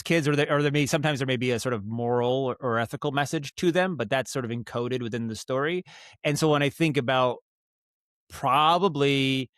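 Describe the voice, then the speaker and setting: A man's voice: mid-range voice, a bit bright, clear, resonant tone, no echo. Man, mid-range voice, podcast guest, casual and thoughtful, rambling, self-correcting, close dry sound. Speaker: podcast guest, audio storyteller and director. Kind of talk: casual interview talk, explaining craft.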